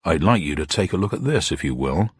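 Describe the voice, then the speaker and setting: A man plays a plainclothes police superintendent in an audio mystery. He is polite and deferential yet doggedly watchful, in a low, husky voice recorded dry and close. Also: animated, a little fast, dry recording, exaggerated voice. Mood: polite, suspicious. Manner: deferential but probing.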